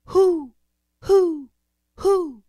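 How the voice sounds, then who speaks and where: A woman's voice: very high-pitched, measured pace, bright, forceful tone, no room sound, clean studio sound, voice pushed up, exaggerated voice. A woman voicing an indignant mother owl in a children's story, hooting through her words. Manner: stretches and hoots the word "who".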